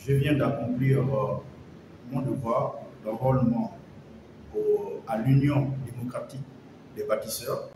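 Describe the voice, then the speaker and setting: A man from Gabon giving a hesitant, earnest statement to the camera, pausing often with fillers. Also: mid-range voice, fairly even intonation, very echoey, background noise. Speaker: party member interviewed.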